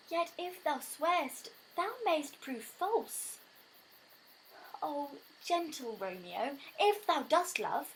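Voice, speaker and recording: High-voiced young maiden in love , acted by a woman. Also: full character voice, low-quality recording. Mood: anxious, lovestruck. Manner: theatrical, verse delivery. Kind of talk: Shakespearean audio drama acting. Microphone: phone. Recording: home phone recording, faint noise.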